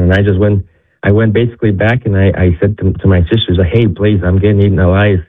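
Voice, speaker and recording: A young man from Ecuador, a guest telling his story in casual talk with many restarts. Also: on the low side. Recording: tinny phone-like line.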